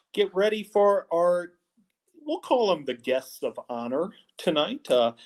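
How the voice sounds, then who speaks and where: A man's voice: a little high, darkish tone. A middle-aged man hosting a college sports talk show over a video call, upbeat and full of uh fillers, from an office. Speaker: host. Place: office with wall logo.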